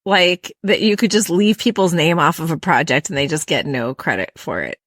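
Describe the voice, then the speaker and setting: Lower-voiced woman co-host, matter-of-fact. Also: lively intonation, moderate pace, a bit bright, no echo, studio quality. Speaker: podcast co-host. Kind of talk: casual podcast chat.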